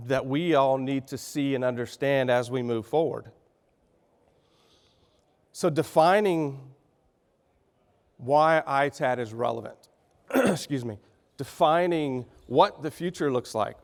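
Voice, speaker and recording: A middle-aged man with a Texan accent, slightly raspy from a sinus infection, giving a folksy conference keynote. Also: medium pitch. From Texas. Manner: folksy, conversational, with frequent fillers and restarts. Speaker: keynote speaker. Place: large conference hall. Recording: reverberant hall sound.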